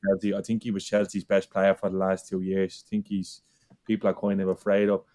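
A man on a casual football chat who talks in a relaxed way, thinking aloud. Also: low voice, fairly quick. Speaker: panelist.

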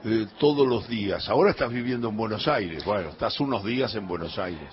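A man's voice: on the low side, lively intonation. Man with Rioplatense accent, radio host, curious and chatty, muffled lo-fi sound. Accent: Rioplatense Spanish. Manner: chatty, curious, quick follow-up questions. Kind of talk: radio interview.

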